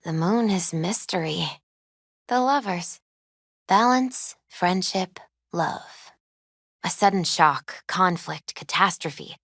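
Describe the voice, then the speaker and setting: A gentle young woman who reads tarot, speaking in a lowish, soft-spoken voice. She is measured and earnest, with a mystical, foreboding weight to her delivery. The sound is very dry, as in game dialogue. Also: lively intonation, no room sound, heavily acted. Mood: solemn, foreboding. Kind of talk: video game character dialogue.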